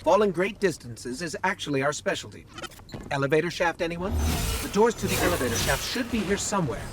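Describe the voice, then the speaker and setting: An actor voicing a small, inquisitive robot companion in a video game, chatty and playfully wry. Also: male voice, on the high side, lively intonation, fairly quick, heavy background noise, natural voice. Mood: wry, curious. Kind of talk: video game character acting. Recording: distant voice under loud music.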